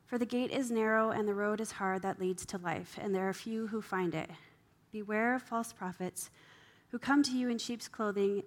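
Female volunteer reader, medium register, reading Bible verses aloud. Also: mid-range voice.

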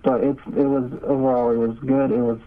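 A man's voice: mid-range voice, flat delivery, brisk, phone-quality sound. A young man gives casual, hesitant interview answers as a seminarian guest over a remote call. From Nigeria. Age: young adult.

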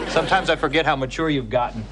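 A man's voice: a little high, quick pace, a crowd in the background, background noise, natural voice. A man playing a protective, nerdy, dry-witted dad in a sitcom. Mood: fond.

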